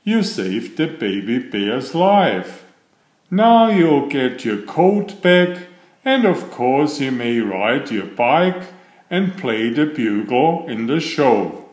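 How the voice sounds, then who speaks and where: A man's voice: mid-range voice, very animated, slow pace, a little mellow, echoey room. A man voicing a stern circus director in a children's storybook, scolding or praising with authority.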